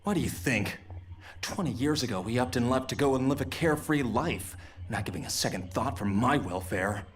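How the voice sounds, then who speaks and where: A man's voice: mid-range voice, moderate pace, a little hiss or hum, close to an everyday voice. A man playing a bitter, entitled grown son in an anime-style game, speaking in a sneering, resentful, theatrical manner. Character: bitter, entitled grown son scorning his estranged father.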